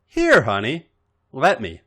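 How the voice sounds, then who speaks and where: A man's voice: medium pitch, wildly swooping pitch, slow pace, a bit bright, slightly rough, pitched above the performer's own voice, heavily acted. Man voicing a fond, coaxing worldly woman. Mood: coaxing, flustered. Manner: coaxing, uses pet names. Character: fond, worldly woman wrestler mentoring a younger friend.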